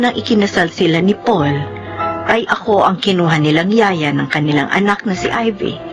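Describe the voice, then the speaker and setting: Gentle nanny played by an actress, over music. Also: female voice, fairly low pitch, lightly acted. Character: kindly, devoted nanny and mother telling her story. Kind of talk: Filipino radio drama narration and acting. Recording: music bed behind the voice.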